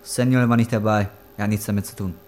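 A man's voice: fairly low pitch, fairly even intonation, fast talker, a little mellow, natural voice. An actor playing a desperate, defensive young man in a crime drama, speaking bluntly and colloquially. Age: young adult. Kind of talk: acted dialogue in a crime drama. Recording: noisy ambient background.

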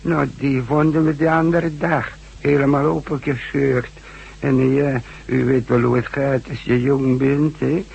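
An actor playing a friendly hundred-year-old village man who rambles and hesitates in a shaky, husky, creaky voice, in a radio drama. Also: mid-range voice, level tone, a little mellow, lo-fi sound. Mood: rambling, reminiscing. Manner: halting, hesitant, rambling storytelling.

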